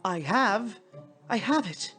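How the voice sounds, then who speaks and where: Lady doing a flustered stammery gent ghost from a storybook, pitched way up, faint music behind. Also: expressive, slow, a bit bright. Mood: intrigued. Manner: stammering, flustered, prone to exclaim. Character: fussy, bewildered, stammering gentleman, newly a ghost. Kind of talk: theatrical storybook character voice.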